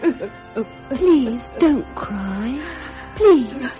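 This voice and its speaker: A woman's voice: a little high, animated, drawn-out words, mellow, muffled recording, soft background music. An actress playing a tender, emotional lady of royal circles in a period radio drama, with a British accent and a plaintive manner. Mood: tearful, tender.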